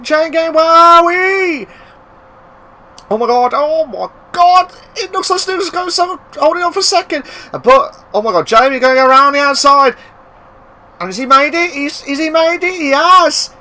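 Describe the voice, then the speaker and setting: A man giving live racing commentary, excitable and bursting into loud exclamations at the action. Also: very high-pitched. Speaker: race commentator.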